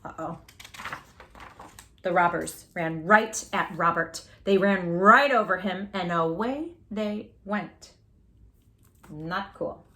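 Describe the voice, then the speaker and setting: A woman reading a children's picture book aloud as a storytime host, theatrically, with drawn-out words and little asides to the listener. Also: fairly low pitch, bright, forceful tone.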